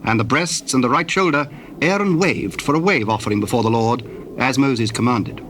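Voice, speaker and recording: A man narrating scripture from a script in a formal, solemn and deliberate manner. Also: mid-range voice, a bit bright, slight background noise.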